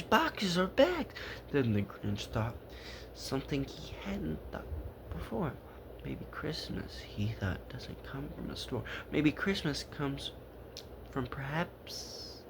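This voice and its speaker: Male storyteller for children's read-aloud, slow and halting, amateur stumbles, home recording with faint noise. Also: on the high side. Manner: halting, stumbling over the text.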